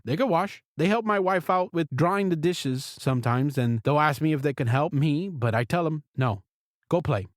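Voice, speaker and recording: A man's voice: a little high, no room sound, studio quality. A man hosting a podcast, telling family anecdotes in a casual, comedic monologue and acting out bits of what people say. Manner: chatty anecdotal storytelling, acts out short quotes. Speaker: podcast host.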